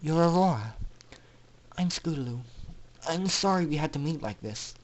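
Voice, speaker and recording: A man's voice: a little high, lively intonation, natural voice. A man voicing an earnest, determined young female pegasus pony with an emotional delivery, in a storybook reading. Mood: quiet, sorry. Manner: tearful, earnest, halting under emotion.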